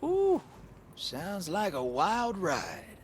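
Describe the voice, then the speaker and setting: An easygoing scoundrel of a game world. He ambles through his lines in a folksy, wry drawl. The pitch swoops freely, and faint noise sits behind him. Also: wildly swooping pitch, extremely slow, heavily acted. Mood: warm, teasing. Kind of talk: video game character acting.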